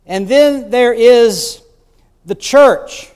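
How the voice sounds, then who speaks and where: A man's voice: very high-pitched, extremely slow, a little mellow, smooth. A man preaching a sermon as bishop, telling the story in a conversational way with rhetorical asides. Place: church sanctuary. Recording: long church reverb.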